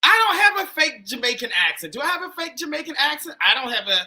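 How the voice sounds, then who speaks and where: A man with a Pittsburgh accent hosting a live dating show in a loud, animated, chatty way. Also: very high voice, fast talker. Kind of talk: casual live-stream chat.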